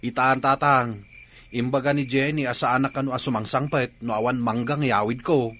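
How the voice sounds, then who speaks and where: A man's voice: mid-range voice, fairly even intonation, normal speed, a bit bright, lightly acted. A man with an Ilocano Filipino accent playing a dutiful, hesitant young husband in a radio drama. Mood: earnest. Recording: music and crowd noise behind.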